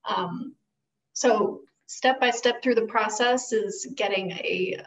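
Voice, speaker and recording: A woman's voice: mid-range voice, slow, far from the mic, lo-fi sound. A young woman explaining her work conversationally as a guest on a video call. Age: young adult. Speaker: guest, costume designer.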